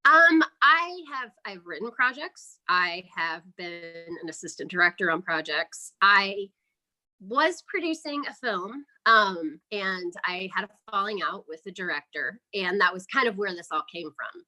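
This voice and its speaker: A woman is being interviewed in a relaxed, conversational way. Her voice is notably bright and forward, at a medium pitch. She explains things chattily, as an independent filmmaker would to a show host. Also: measured pace, rough recording.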